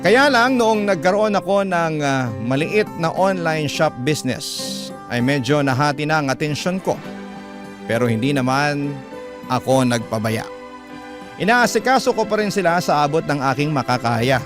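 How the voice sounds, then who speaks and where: A man hosting and narrating a radio-style drama with a dramatic, drawn-out delivery. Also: fairly high pitch, expressive. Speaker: host and narrator. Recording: music bed under voice. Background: clear instrumental music.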